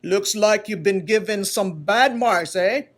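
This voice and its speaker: A woman voicing a kindly, loving male wood carver in a children's storybook, speaking slowly, strongly and with emphasis. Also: mid-range voice, lightly acted. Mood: delighted, booming. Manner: slow, deliberate, tender.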